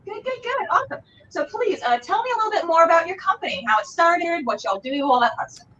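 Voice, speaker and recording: A woman hosts a casual livestream interview with effusive exclamations. Her voice is high and bright, and it comes through dull and a little far from the mic. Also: animated, fast talker, a little hiss or hum. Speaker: interview show host. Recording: dull, slightly distant sound.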